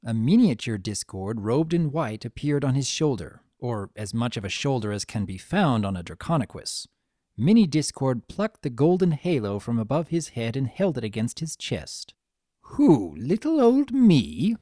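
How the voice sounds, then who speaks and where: A woman's voice: very deep voice, animated, moderate pace, mellow, dry recording, studio quality. A woman giving a dramatic scripted narration as a storyteller.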